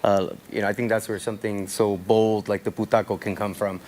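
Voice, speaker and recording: Earnest man from El Salvador, lowish voice. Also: level tone, fairly quick, a little mellow. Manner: earnest, reflective. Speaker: panel guest. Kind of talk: live panel conversation.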